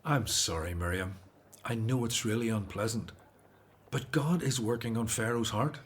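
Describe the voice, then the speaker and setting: A man's voice: fairly low pitch, moderate pace, breathy edge. A man playing a troubled but faithful Hebrew leader, earnest in manner, in a biblical audio drama. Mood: earnest, reflective.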